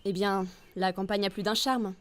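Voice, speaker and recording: A woman's voice: medium pitch, steady tone, normal speed, lightly acted. An actress playing a poised, formally polite woman with an air of intrigue, in an audio drama. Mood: polite, breezy.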